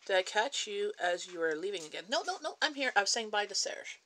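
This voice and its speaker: A woman's voice: medium pitch, big pitch swings, brisk, bright, forceful tone, a little hiss or hum. A Canadian woman with a Canadian English accent chatting casually at home in her kitchen on a livestream, reading viewer comments aloud, answering them and laughing between remarks. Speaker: livestream host.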